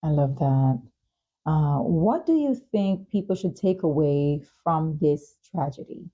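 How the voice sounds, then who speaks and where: A woman's voice: deep voice, lively intonation, slow pace, dark tone, husky, lo-fi sound. A woman hosting a podcast interview, asking questions and responding to her guest. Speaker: talk show host.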